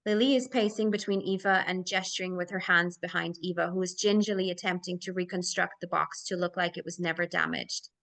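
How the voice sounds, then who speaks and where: A woman's voice: fairly low pitch, fairly even intonation, brisk, vocal fry, hardly any pauses, a bit bright. A woman reading stage directions aloud as narrator at a screenplay table read.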